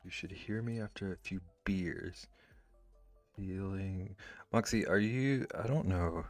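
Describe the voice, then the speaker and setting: A man's voice: low voice, takes it slowly, faint music behind. A man streaming live while he draws, chatting casually with viewers and rambling with lots of "like" fillers. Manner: rambling, with many "like" fillers and restarts. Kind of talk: casual chat, reading and answering chat.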